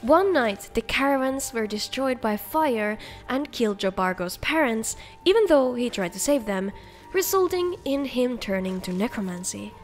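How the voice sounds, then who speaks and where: A woman's voice: a little high, lively intonation, moderate pace, faint music behind. A woman hosting a video, reading scripted narration in an upbeat, enthusiastic presenting manner. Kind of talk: scripted narration of game mod showcase.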